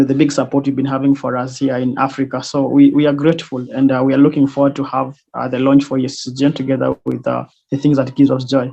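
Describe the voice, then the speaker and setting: A man's voice: medium pitch, vocal fry, mellow. A man, hesitantly introducing people in an online meeting. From Kenya. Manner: hesitant, many fillers and restarts. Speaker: student organizer. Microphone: video call. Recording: dull lo-fi video call audio.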